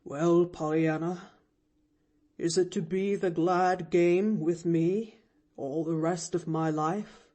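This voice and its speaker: A lonely older man speaks gently and slowly, his voice low and heavy with feeling, sometimes dropping almost to a whisper, as a woman reader gives him voice. The tone is dark and mellow. It is a grave storybook figure. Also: husky, exaggerated voice. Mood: gentle.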